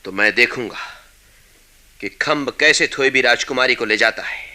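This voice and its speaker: An actor playing a vengeful, boastful and desperate suitor in a Hindi radio play, with a theatrical, vehement delivery. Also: male voice, mid-range voice, normal speed, pushed and bright. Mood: bitter, determined.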